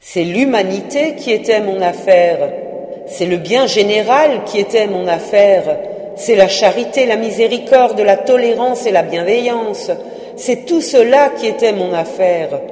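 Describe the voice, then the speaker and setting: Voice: mid-range voice, a little slow, a little mellow, roomy sound, big-room sound, pitched above the performer's own voice, lightly acted. A narrator voicing the ghost of an old male miser, chained and remorseful, declaiming anguished laments. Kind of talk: audiobook character reading.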